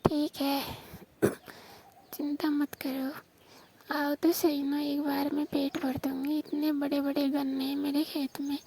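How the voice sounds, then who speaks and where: A woman's voice: high-pitched, completely flat delivery, a little slow, dark tone. A woman from Rajasthan on a recorded phone call, teasing and bargaining in a coy, flirtatious way.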